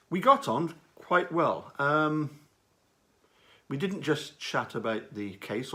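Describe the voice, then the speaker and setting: A man giving unscripted commentary on a true-crime case, speaking in a halting, deliberate way with frequent pauses and repeated words. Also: on the low side, a bit bright.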